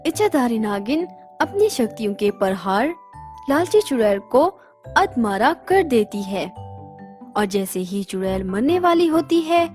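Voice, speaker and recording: Slow, high-voiced woman storyteller. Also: sing-song, a little mellow, faint music behind. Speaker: storyteller narrator. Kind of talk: scripted children's story narration.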